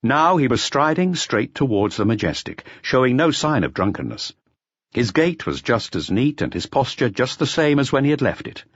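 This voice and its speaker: A man's voice: medium pitch, very animated, normal speed, breathy edge, dry recording, lo-fi sound. A man giving scripted narration of a detective novel as an audiobook reader.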